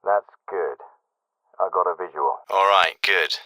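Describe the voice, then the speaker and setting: An actor playing a gruff, sardonic British special forces team leader in a video game, giving terse, dry military commands. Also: male voice, sits low, measured pace, pushed and bright, dry recording, poor audio. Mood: calm, low. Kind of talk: military radio chatter and commands.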